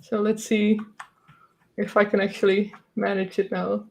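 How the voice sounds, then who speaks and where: A woman's voice: medium pitch, little pitch movement, measured pace, creaky. A woman from the Netherlands, a native Dutch speaker, chatting hesitantly and playfully while playing her game. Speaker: guest game developer. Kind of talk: casual chat while playing.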